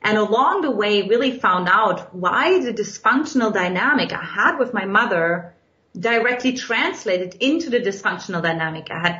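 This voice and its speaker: German-accented woman guest, reflective storyteller, roomy muffled recording. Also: medium pitch. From Eastern Germany. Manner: earnest, reflective, expressive storytelling. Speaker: guest, dating coach. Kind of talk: podcast interview storytelling. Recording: echoey, dull lo-fi sound.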